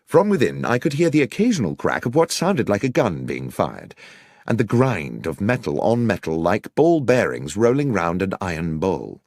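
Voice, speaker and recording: Male British audiobook narrator, lowish voice, highly varied intonation, dry close sound. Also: wildly swooping pitch, normal speed, darkish tone, no room sound. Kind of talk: scripted first-person story narration.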